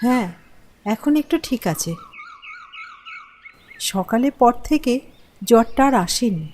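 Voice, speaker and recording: A woman plays a village farmer's wife in a cartoon, a concerned mother with a fairly high voice. Background noise is audible behind her. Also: lively intonation, takes it slowly, a little mellow. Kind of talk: cartoon character acting.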